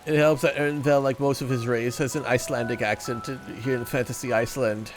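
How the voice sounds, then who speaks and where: A man's voice: medium pitch, steady tone, fairly quick, over music. A man streaming a game, chatting casually and reading the dialogue aloud with joking asides. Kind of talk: casual let's-play commentary.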